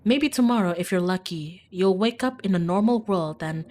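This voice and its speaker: A woman reading scripted narration as an ominous, hushed storyteller in a horror visual novel. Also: medium pitch, expressive, normal speed, a little hiss or hum, natural voice.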